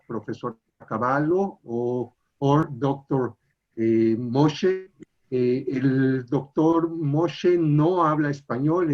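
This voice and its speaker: A man reading an introduction in a formal, measured way, then moderating audience questions in a video call with some hesitant fillers. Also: mid-range voice, a little mellow, lo-fi sound. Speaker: webinar moderator.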